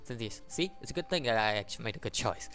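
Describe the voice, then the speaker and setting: A man hosting a gaming video, reading a visual novel aloud in a halting way, with casual commentary. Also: medium pitch, animated, fairly bright tone, faint music behind. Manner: halting, stumbles over the text.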